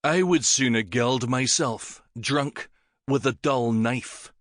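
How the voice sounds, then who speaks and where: Man doing a hardened young warrior, cold and defiant, slow deadly emphasis, dry recording. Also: medium pitch, steady tone, a bit bright, no room sound, pitched above the performer's own voice.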